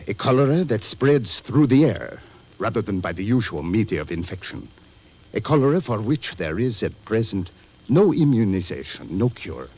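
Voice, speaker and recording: An actor playing a grave, courteous government doctor, speaking formally and solemnly in an old radio drama. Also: male voice, on the low side, very animated, moderate pace, warm tone, husky, low-quality recording. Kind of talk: 1940s radio acting.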